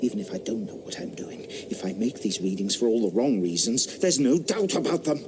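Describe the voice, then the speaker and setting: An actor playing an excitable 18th-century squire and scientist who gives urgent orders, in a radio drama. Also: male voice, mid-range voice, fairly quick, background noise, lightly acted. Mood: intense. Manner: urgent, commanding, intense.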